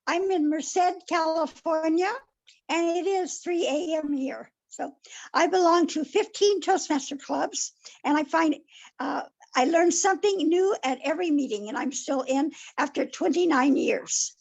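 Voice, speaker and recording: A chatty woman with a high, gravelly voice introduces herself. The sound is dull and muffled, like a cheap microphone. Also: a little slow.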